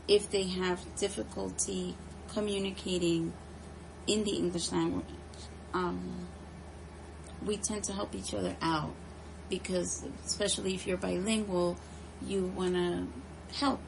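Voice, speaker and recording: Woman, conversational interviewee, unhurried, gravelly fry, hesitant pauses, noisy recording. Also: lowish voice, level tone. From United States. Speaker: interviewee, home care nurse.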